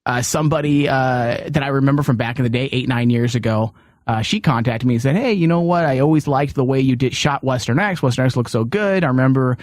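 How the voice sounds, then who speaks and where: A middle-aged man hosting a talk show with casual comic banter and animated, incredulous questioning. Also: mid-range voice, a little fast, no echo.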